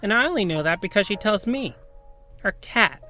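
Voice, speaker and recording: A man's voice: sits high, expressive, moderate pace, bright, forceful tone, soft background music, pitched above the performer's own voice. A man voicing an earnest young male talking cat who chats casually and hesitantly in an audio story. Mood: fond, amused.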